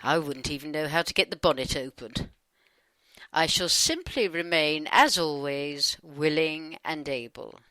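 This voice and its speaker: A British-accented woman reading her side of a dialogue in a chatty, wry, expressive conversational style. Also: deep voice, unhurried, no room sound.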